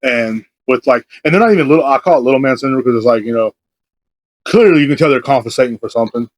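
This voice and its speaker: A man's voice: medium pitch, fast talker, no echo. A man from Texas co-hosting a podcast, chatty and joking, laughing often in casual conversation. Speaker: podcast co-host. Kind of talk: casual chat.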